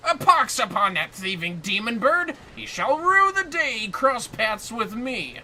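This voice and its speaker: A man's voice: very high-pitched, big pitch swings, a little slow, bright, forceful tone, a little hiss or hum, voice pushed up, exaggerated voice. A man voicing a boisterous, pompous male game hero who declaims in a theatrical, bombastic way. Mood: indignant bombast.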